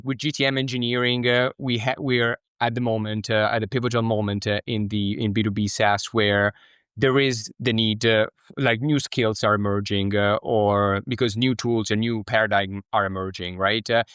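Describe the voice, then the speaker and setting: Male podcast host, lowish smooth voice, hesitant and repetitive phrasing, conversational interviewing. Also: a bit bright, no room sound, clean studio sound. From Spain. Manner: halting, hesitant phrasing with frequent stammered repeats.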